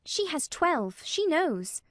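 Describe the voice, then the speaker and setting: A high-voiced, timid little girl. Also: very animated, takes it slowly, no echo, studio quality, heavily acted. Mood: timid, earnest. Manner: tremulous, breathless, pleading.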